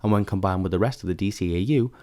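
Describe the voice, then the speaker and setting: A man's voice: sits low, fast talker, warm tone. A man reading scripted narration as the narrator of a video essay.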